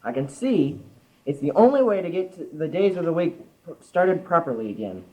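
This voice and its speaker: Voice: medium pitch, big pitch swings, moderate pace, darkish tone, natural voice. A teenager in an amateur radio play acting an exasperated, tight-fisted male boss. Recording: muffled amateur recording.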